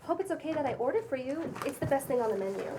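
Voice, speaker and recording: Woman acting a high-voiced breezy trendy LA woman. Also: lively intonation, rapid-fire. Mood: assured. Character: trendy, breezy young LA woman with celibate housemates. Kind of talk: table read of a TV pilot script. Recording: distant microphone with faint room noise.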